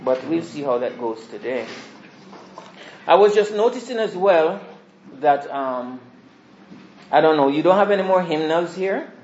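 A soft-spoken man addresses a small congregation in an informal, meandering way as a visiting preacher. His voice sits a little high. The church hall around him is echoey, and the recording sounds dull and low-fi. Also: lively intonation, a little slow, long pauses, a little hiss or hum. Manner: soft-spoken, rambling with restarts. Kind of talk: informal sermon introduction.

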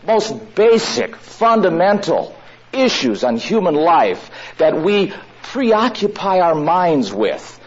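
Male preacher giving a sermon, slow drawn-out words, pitch swooping up and down, muffled lo-fi sound. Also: big pitch swings. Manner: slow, drawn-out phrasing with long pauses. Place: room with listeners. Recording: dull lo-fi recording.

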